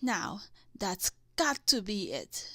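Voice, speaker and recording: A woman's voice: mid-range voice, very animated, fairly bright tone, dry recording, clean studio sound, pitched below the performer's own voice, full character voice. A woman reading the lines of a weary, grumbling teenage boy in a children's storybook. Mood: weary, puzzled. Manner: muttering, sighing, drawn-out.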